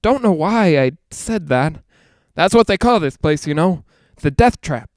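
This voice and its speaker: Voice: mid-range voice, lively intonation, unhurried, no echo, studio quality. Actor doing a scared young radio host guy cracking up in a ghost house, breathless rambling, voice all over the place, old radio play. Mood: eerie unease. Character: frightened, unravelling young male radio broadcaster in a haunted house. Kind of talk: 1940s radio drama acting.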